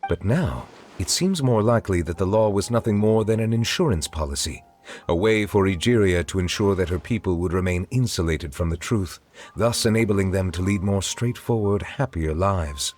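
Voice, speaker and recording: An actor playing a stately male chief judge who speaks in a formal, measured, courtly way. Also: low-pitched, expressive, a little mellow.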